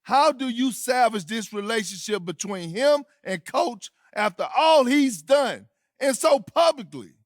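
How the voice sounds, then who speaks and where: An actor playing a scornful, opinionated sports pundit, giving emphatic and judgmental colloquial commentary in a video game. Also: male voice, extremely high pitch, expressive, unhurried, no echo, studio quality.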